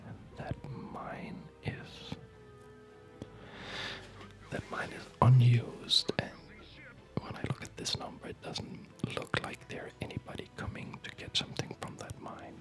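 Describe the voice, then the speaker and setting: A gamer delivers ASMR commentary in a breathy whisper, close to the microphone. The delivery is slow and musing, as if thinking aloud. Music plays clearly behind the voice. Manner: soft, unhurried, musing aloud. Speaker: video gamer narrating own play.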